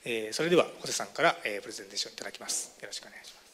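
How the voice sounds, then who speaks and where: Fast, bright-voiced male moderator. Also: fairly low pitch, expressive, slight background noise. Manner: hesitant, many fillers. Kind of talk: formal session introduction.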